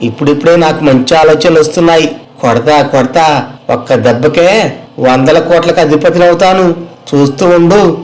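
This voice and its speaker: A man's voice: fairly high pitch, normal speed, a little mellow, lightly acted, poor audio. A man playing an earnest, boastful young villager in a moral story, with emphatic, familiar banter. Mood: dismissive, boastful. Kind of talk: dramatized moral story dialogue.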